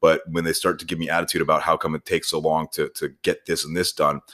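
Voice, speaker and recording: A man's voice: very deep voice, flat delivery, fast, fairly bright tone. A man with an American accent co-hosting a podcast, telling anecdotes casually in an exasperated way and acting out exchanges. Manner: exasperated, acts out customer exchanges. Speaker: podcast co-host; auto shop worker.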